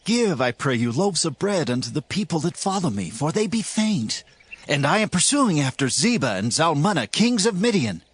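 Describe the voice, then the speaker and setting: Actor as bold, high-voiced Gideon, war leader. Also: male voice, sing-song, normal speed, breathy edge. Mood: earnest request. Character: Gideon, adult male Israelite war leader, commanding and bold. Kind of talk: dramatized Bible reading in archaic English.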